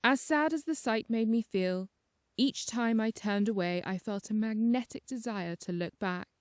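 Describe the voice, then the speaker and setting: A woman's voice: a little high, normal speed, no room sound, clean studio sound. A woman reading a scripted first-person short story as an audiobook narrator.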